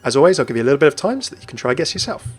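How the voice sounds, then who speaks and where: A man narrates video game lore as an upbeat, chatty host. He talks quickly with a lively, swinging intonation in a slightly high voice, over light background music. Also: breathy edge. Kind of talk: scripted gaming lore narration.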